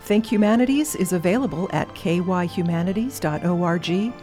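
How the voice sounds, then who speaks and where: A woman announcer reading a scripted closing announcement in a clear, read-out way. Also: lowish voice, fairly quick, mellow, soft background music.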